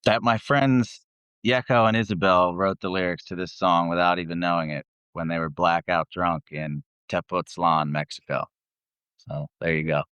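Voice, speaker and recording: A man's voice: low-pitched, steady tone, moderate pace, fairly bright tone, clear, resonant tone, no echo, muffled recording. A man chatting casually as an interview guest, rambling and self-deprecating, with some swearing. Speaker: guest, metal band vocalist. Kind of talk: casual chat.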